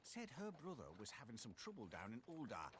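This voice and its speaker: A man's voice: medium pitch, huge pitch swings, a little fast, fairly bright tone, slight background noise. A man playing a chatty, jovial rustic wagon driver in a fantasy video game, with cheery colloquial speech. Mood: chatty storytelling. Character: chatty, folksy male wagon driver, jovial rogue helper. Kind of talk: scripted game voice acting.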